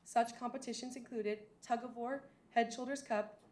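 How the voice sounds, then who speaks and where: A teenage girl reads her student council report with rehearsed enthusiasm. Her voice sits a little high, and her delivery is steady and well prepared. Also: normal speed. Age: teens. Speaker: student body president. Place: school district boardroom.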